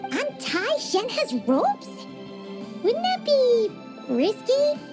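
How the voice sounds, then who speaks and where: Super high squeaky lil fairy companion, teasing and blunt, swings all over. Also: female voice, huge pitch swings, drawn-out words, a little mellow, faint music behind, exaggerated voice. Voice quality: squeaky, childlike. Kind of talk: game cutscene voice acting.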